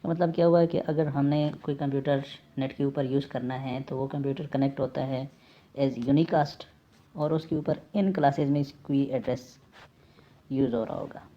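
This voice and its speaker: A man's voice: medium pitch, fairly even intonation, moderate pace. A man giving an informal tutorial as an instructor, repeating key terms and mixing in English technical words. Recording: dull lo-fi mic with faint noise.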